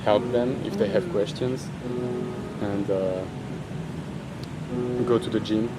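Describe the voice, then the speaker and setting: A man's voice: lowish voice, fairly even intonation, slow pace, loud music behind the voice, rough recording. A young Belgian man who speaks English with a French accent, answering interview questions in a hesitant, filler-laden way with light laughs. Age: young adult. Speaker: interviewee, university student.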